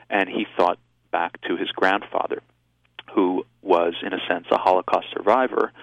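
A deep-voiced man answers an interviewer slowly and thoughtfully, pausing on ums and restarting his sentences. The sound is dry and slightly muffled, like a radio studio recording. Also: no room sound. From Israel. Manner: measured, reflective, with frequent restarts and ums. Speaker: author being interviewed.

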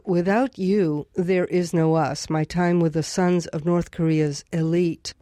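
A woman hosting a radio show, interviewing a guest in a measured, formal way. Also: lowish voice, warm tone, no echo, studio quality.

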